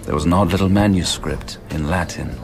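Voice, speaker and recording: An actor playing a bookish British man in a radio drama, speaking in a measured, precise and formal way. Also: low-pitched, vocal fry, music in the background. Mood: precise, reserved.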